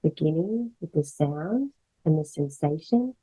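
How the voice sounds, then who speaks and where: A woman guiding a meditation as a yoga instructor, speaking in gentle, measured phrases with pauses. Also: sits low, lively intonation, husky, rough recording. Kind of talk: guided meditation, slow soothing instruction.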